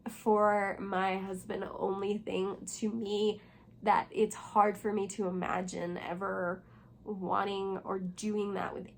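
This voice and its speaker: A young woman with a hoarse voice, still recovering from losing it, chatting casually about books in a vlog and rambling with lots of fillers. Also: medium pitch, slight background noise. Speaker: booktuber. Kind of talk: casual reading vlog chat.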